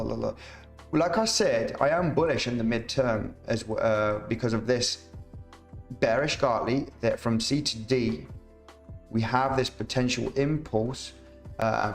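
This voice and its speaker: A man streaming live chart analysis, chatty and rambling, reading out viewer comments, his voice slightly rough. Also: mid-range voice. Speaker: crypto market analyst streamer. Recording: roomy echo, faint music behind.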